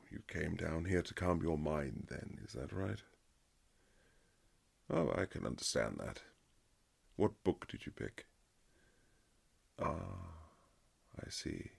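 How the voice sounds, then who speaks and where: A man playing a courtly Regency nobleman husband, tender and gently teasing, in a husky romantic roleplay with formal, old-fashioned phrasing. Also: full character voice. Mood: gentle, concerned. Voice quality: very low, husky, gravelly. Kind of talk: romantic boyfriend ASMR roleplay, period drama.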